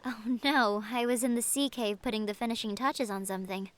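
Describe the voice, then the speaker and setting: A woman playing a kindly ancient siren in an audio adventure, speaking in a gentle, solemn, measured manner. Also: a little high, natural voice. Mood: warm, earnest. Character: kindly ancient siren, a female sea monster. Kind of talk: audio roleplay acting.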